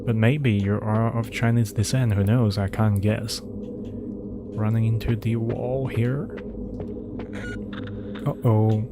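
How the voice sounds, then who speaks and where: A man's voice: on the low side, steady tone, slow, pauses often, heavy background noise. A man whose first language is Cantonese, casually commentating a horror game, reading in-game documents aloud and answering chat questions. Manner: reads documents aloud, then skips ahead with asides. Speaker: streamer playing a horror game. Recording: game music behind the voice.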